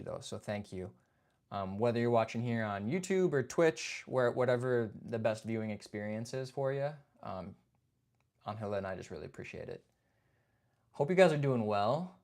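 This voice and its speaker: A man's voice: on the low side, expressive, normal speed, gravelly, darkish tone. A young man hosting a casual livestream chat. He reads viewer comments, greets people by name and keeps saying "man" and "dude". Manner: laid-back, chatty, says "man" and "dude". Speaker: livestream host.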